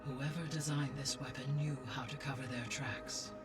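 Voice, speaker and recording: A woman's voice: a bass voice, fairly even intonation. Serene, formal female AI. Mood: composed, analytical. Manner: composed, deliberate, precise. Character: serene, wise female artificial intelligence, formal and analytical. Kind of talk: formal video game cutscene acting. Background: faint music behind the voice.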